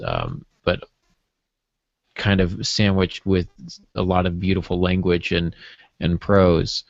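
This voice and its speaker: A man co-hosting a podcast, discussing literature in a measured, halting, reflective way. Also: sits low, gravelly, dry recording, lo-fi sound. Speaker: podcast co-host.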